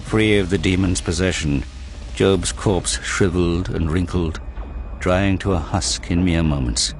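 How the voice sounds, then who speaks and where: A man's voice: deep voice, steady tone, unhurried, slight background noise. A man giving dramatic scripted narration for an audio drama, with music behind his voice.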